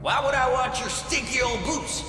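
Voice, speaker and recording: A man's voice: very high voice, moderate pace, pushed and bright. An actor playing a nervous, superstitious mine foreman in a video game, agitated and insistent. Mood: defensive. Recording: very echoey hall, music behind.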